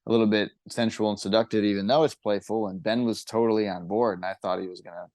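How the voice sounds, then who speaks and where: A man's voice: on the low side, fairly even intonation, quick pace, darkish tone, no room sound, clean studio sound. A man who sings and writes songs for a band, talking casually about making music in a podcast interview. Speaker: singer and songwriter in a band.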